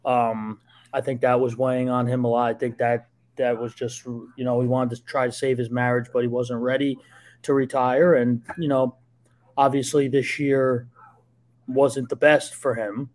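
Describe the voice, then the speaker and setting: A young man with a New Jersey accent chats as a podcast guest over a home video call. He talks loudly and eagerly, often restarting his sentences as the stories tumble out. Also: medium pitch, little pitch movement, moderate pace. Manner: loud, eager storytelling with frequent restarts. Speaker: guest, sports journalist. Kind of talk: casual podcast chat.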